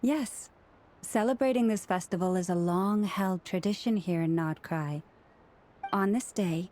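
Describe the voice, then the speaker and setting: A woman playing a polite, gentle young festival organiser in a video game, speaking formally and in measured phrases. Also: mid-range voice, lightly acted. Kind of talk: animated game dialogue.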